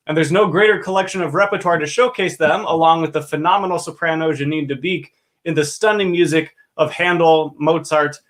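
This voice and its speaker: A man's voice: fairly high pitch, a bit bright. A man hosting a livestream pre-show for an opera company, presenting from a script in an upbeat, enthusiastic way. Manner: upbeat, enthusiastic, clear announcing.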